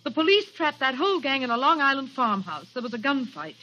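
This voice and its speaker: A woman's voice: high-pitched, big pitch swings, brisk, pushed and bright, a little hiss or hum, full character voice. An actress playing a determined, accusing young woman in a 1940s radio drama, anxious yet defiant and intense. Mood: accusing, urgent. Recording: muffled 1940s radio recording.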